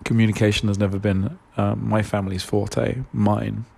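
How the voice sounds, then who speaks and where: A man, stuffed up with a cold, talking openly and hesitantly in a solo podcast monologue, full of pauses and fillers. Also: lowish voice, flat delivery, creaky, darkish tone, husky. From London, England. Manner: open, confessional, hesitant with many fillers. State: congested with a cold. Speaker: podcast host.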